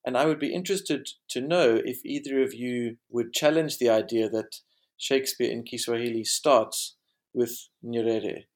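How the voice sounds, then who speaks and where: A man's voice: mid-range voice, moderate pace. A man from Africa, moderating an academic panel with long, carefully framed questions. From South Africa.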